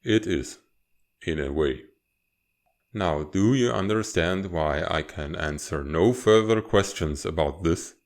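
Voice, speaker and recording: A man playing a stern, guarded serpent-dragon rebel leader and father in a comic fandub, speaking in a measured, formal, authoritative way. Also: low voice, animated, full character voice. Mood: guarded, firm. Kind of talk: dramatic comic fandub acting.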